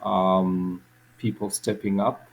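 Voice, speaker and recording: Deep, flat-voiced Kenyan man. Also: creaky, a little hiss or hum, rough recording. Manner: halting, many repeated words and fillers. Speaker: podcast guest. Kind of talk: reflective interview answers.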